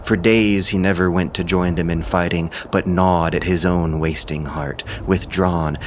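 A man giving scripted dramatic narration of an ancient epic, in measured, solemn storytelling style. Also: low voice, steady tone, a little hiss or hum.

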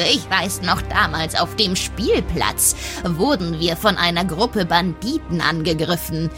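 A woman acts out a fierce dwarf aunt in a fantasy audio play. Her low voice swoops expressively as she boasts slowly and sweetly, with clear music playing behind her. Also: a bit bright. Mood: nostalgic, proud. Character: fierce boastful old dwarf aunt telling violent childhood tales fondly. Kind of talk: improvised fantasy audio roleplay.

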